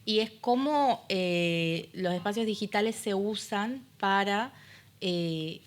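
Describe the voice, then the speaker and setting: A woman's voice: medium pitch, slow pace. A woman co-hosting a podcast conversation, talking reflectively with fillers. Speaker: podcast co-host. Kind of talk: conversational discussion.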